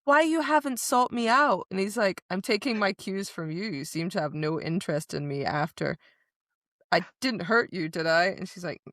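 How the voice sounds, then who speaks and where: A woman's voice: on the low side, lively intonation, moderate pace, a bit bright, no echo, clean studio sound. A woman co-hosting a podcast, recapping a story in casual chat and emphatically quoting the characters. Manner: emphatic retelling, acting out characters' dialogue. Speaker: podcast co-host.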